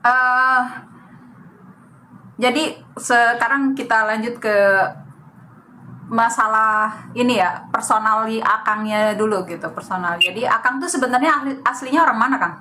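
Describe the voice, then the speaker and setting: A woman hosting an alumni interview, speaking slowly and deliberately with many filler sounds. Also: a little high, sharp tone, slight background noise, poor audio. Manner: slow, deliberate, filler-heavy. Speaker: host.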